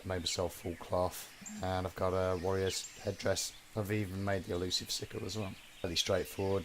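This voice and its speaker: A hoarse man talks in a chatty, self-mocking way. His voice sits low and deep. Loud outdoor game ambience with animal noises runs behind him. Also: noisy background. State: hoarse from a cold, losing his voice. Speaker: gameplay guide presenter. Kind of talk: casual game commentary. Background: game sounds, animal noises, rural outdoor ambience.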